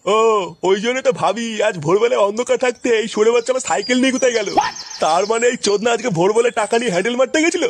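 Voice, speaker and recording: A cranky old village grandfather from a comedy cartoon, with a very high voice. He scolds and grumbles quickly and crudely. There is faint noise behind him. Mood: outraged. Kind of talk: exaggerated comic cartoon dialogue.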